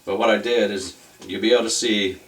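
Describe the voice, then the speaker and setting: A man's voice: on the low side, steady tone, fairly quick, fairly bright tone, slight background noise, rough recording. A man hosting a casual repair video, talking through the job step by step in a plain-spoken way. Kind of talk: casual hands-on repair walkthrough.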